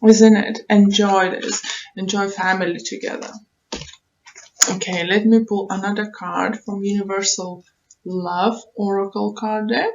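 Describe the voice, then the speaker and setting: Slow-paced woman giving a tarot reading. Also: mid-range voice, flat delivery, highs cut off, noisy background. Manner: halting, repeats phrases, thinks aloud. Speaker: tarot reader, online show host. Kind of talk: unscripted tarot reading, advice to viewers.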